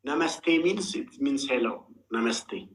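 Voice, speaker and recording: A man's voice: mid-range voice, expressive, measured pace, reverberant. A man hosting a casual livestream chat, switching between languages and keeps urging viewers to tap and share. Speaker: livestream host.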